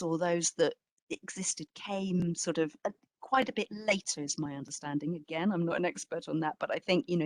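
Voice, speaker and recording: A woman's voice: fairly low pitch, no room sound. A woman speaking as an exhibition curator in an informal academic talk, reflective and hedging, stressing key words.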